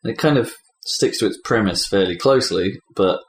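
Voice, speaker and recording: A man hosting a casual podcast discussion, thinking aloud in halting, emphatic phrases. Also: lowish voice. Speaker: podcast host presenting award categories. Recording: roomy, faint background noise.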